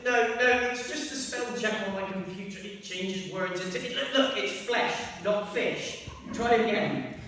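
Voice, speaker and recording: Comic radio-play bungling writer male actor: excitable, halting, apologetic, very high voice, distant with clear noise. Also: heavily acted. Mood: flustered excuses. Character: flustered, excitable bungling amateur playwright making excuses. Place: theatre hall, live performance. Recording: very echoey hall, distant mic, noisy.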